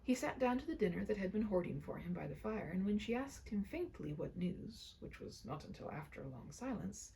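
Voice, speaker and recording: A woman narrating a classic story aloud with theatrical expression, often dropping into a hushed tone. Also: medium pitch, very animated, fairly quick, vocal fry, few pauses, poor audio. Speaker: amateur audiobook narrator. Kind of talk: dramatic storybook read-aloud.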